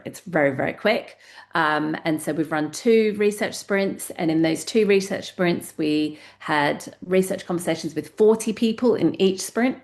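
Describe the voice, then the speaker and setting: A woman with a very low speaking voice, talking on a webinar panel over a video call. She leads a community research project and explains it in a measured way, pausing on fillers as she goes.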